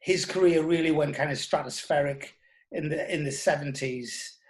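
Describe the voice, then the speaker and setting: A man's voice: on the high side, moderate pace. A British man in his sixties, reminiscing as a guest in an interview. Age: 60s. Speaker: interview guest, former television producer and journalist.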